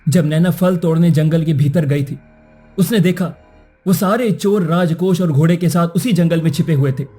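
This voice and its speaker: A man playing a righteous young prince in a cartoon moral story, earnest and formal, with a husky voice. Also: a little high, normal speed, dark tone, faint music behind. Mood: earnest explaining. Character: righteous young prince defending a falsely accused girl.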